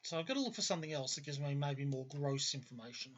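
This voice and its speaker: A man's voice: on the high side, lively intonation, fast, muffled recording, a little hiss or hum. An Australian man hosting a gaming video, thinking aloud in casual commentary and often qualifying or correcting what he says. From Australia, grew up in Melbourne. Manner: discursive, self-correcting, qualifies his statements. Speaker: host of a gaming video series.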